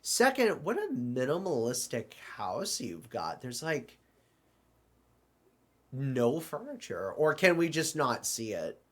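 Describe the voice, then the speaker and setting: A man playing an excitable, apologetic multiverse traveler in an audio drama, stammering and fussing through his lines. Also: mid-range voice, slow pace, exaggerated voice. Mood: flustered, apologetic. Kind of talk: hobby audio drama dialogue.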